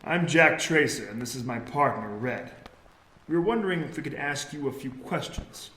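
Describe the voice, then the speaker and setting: A man's voice: medium pitch, lively intonation, moderate pace, sharp tone, echoey room, slight background noise, low-quality recording. An actor playing a blunt, hot-tempered male detective in an audio drama. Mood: probing.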